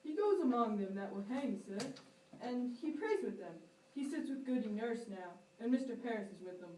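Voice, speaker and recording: A woman's voice: on the high side, lively intonation, moderate pace, darkish tone, natural voice. Woman playing a humble jailer, echoey and distant. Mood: meek, deferential. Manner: deferential, rustic. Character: humble, tipsy male prison marshal. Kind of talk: amateur stage-play acting. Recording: echoey room, distant mic, faint noise.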